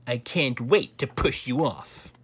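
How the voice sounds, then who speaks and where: A storybook narrator voicing a spoiled, spiteful, impatient young Grand Duke in a whiny, drawn-out snap. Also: medium pitch, big pitch swings, pitched above the performer's own voice. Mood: impatient, angry.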